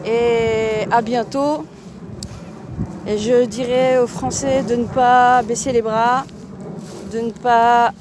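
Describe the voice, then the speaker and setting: A woman's voice: a little high, noisy background. A woman from France talking on an outdoor walking vlog, emphatic and repetitive, often restarting her words. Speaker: vlogger. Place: outdoors on a walk in a town.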